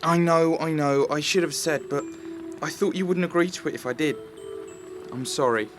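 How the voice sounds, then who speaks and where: An actor playing a sheepish, excitable young video maker in an audio drama, hesitant, apologetic and easily flustered. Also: male voice, on the high side, normal speed, over music, natural voice.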